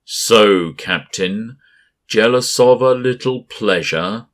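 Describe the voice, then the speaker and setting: A man voicing a giant gaseous male creature who mocks and menaces in a slow, leering drawl. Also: lowish voice, a bit bright, pitched above the performer's own voice. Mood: mocking. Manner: taunting, drawn-out leering delivery.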